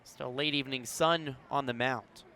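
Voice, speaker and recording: A man doing live baseball play-by-play as a radio announcer, narrating in a measured way with punchy calls. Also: mid-range voice, fairly even intonation, bright, forceful tone. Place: outdoors at a ballpark.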